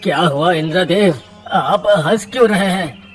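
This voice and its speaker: A man acts out a humble village blacksmith from a cartoon moral tale. He is earnest, anxious and exclamatory, speaking slowly with loud music behind him. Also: high-pitched. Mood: anxious, surprised.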